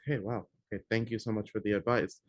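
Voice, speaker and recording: A young man hosting a student podcast asks his questions in a friendly, eager way, using casual phrasing. His voice sits fairly low. It is recorded dry, with no room sound. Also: fairly even intonation, fast talker, dry recording. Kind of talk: interviewer asking questions.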